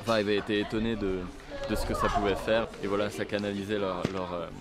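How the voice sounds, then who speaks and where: A man on staff recalling a program in a casual, halting interview. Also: lowish voice, level tone, over a noisy crowd. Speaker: staff member.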